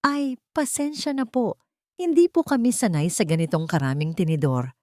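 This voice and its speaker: A woman's voice: medium pitch, moderate pace, dark tone, no echo, studio quality, natural voice. A woman playing a shy, humble poor aunt in a story, speaking timidly and apologetically. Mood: embarrassed apology.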